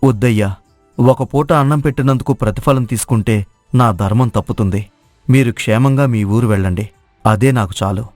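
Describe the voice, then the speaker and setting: A man's voice: mid-range voice, normal speed, a little mellow, no room sound, natural voice. A man playing a humble, kind-hearted village cook in a moral story, speaking earnestly and plainly. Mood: humble, sincere. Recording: dry studio voice over music.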